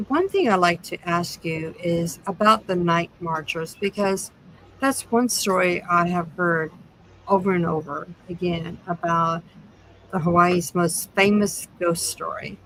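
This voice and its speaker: A woman's voice: fairly low pitch, slow, a bit bright, a little hiss or hum, rough recording. A woman hosting a podcast interview, introducing her guest and putting questions, sometimes stumbling as she reads. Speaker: podcast host.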